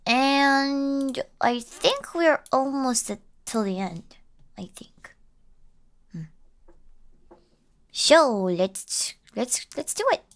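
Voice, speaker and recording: A woman live streamer chatting casually while slightly ill, her voice rough and lower than usual, giggling often between rambling remarks. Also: extremely slow, long pauses.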